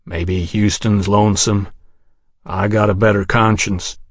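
A male narrator plays a bitter, menacing in an audiobook. He drawls very slowly and tonelessly in terse, dry phrases, recorded close and dry. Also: lowish voice, little pitch movement, no echo, voice pushed down. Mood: weary, suspicious. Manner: terse, toneless, drawn-out delivery. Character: grim, menacing Texian man, weary and bitter.

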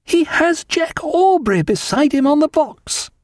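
A man's voice: a little high, sing-song, normal speed, darkish tone, no room sound, studio quality, pitched above the performer's own voice. A man voicing a vivacious, elegant upper-class wife with an exclamatory, refined period manner in an audiobook. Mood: overjoyed.

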